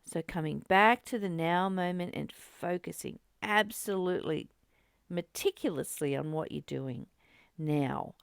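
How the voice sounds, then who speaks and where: A woman's voice: lowish voice, measured pace, creaky, clean tone, no echo, studio quality. A woman hosting a spiritual study, speaking in a reflective, confiding and openly emotional way, repeating phrases for emphasis. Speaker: host of a spiritual book study.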